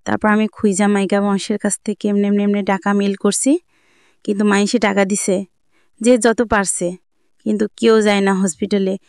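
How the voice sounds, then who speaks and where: A young woman speaks in colloquial Dhaka-area Bengali with a smooth, clear voice at a middle pitch. She tells her own story plainly, circling back and repeating herself. The sound is close and dry. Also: little pitch movement, normal speed, a little mellow, dry recording. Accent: Bangladeshi Bengali, colloquial Dhaka-area dialect. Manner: plain-spoken, repetitive, rambling. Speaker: guest telling own life story.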